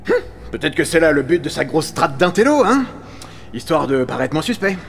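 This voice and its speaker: A man voicing a hot-tempered, sarcastic, foul-mouthed astronaut crewmate in an animated fan dub. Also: on the high side, moderate pace, creaky, a little hiss or hum, heavily acted. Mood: mocking, sarcastic. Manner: sarcastic, crude, accusatory.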